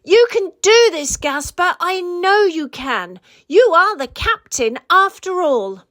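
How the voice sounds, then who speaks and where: A woman's voice: extremely high pitch, very animated, slow, fairly bright tone, voice pushed up, full character voice. A woman voicing a cheerful, bold young female penguin in a children's storybook, with playful, encouraging calls.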